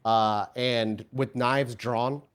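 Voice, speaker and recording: A man runs a tabletop roleplaying game as storyteller. His voice sits at an average pitch. He moves between vivid, descriptive narration and conversational explanation of the rules. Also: steady tone, very slow, clear, resonant tone.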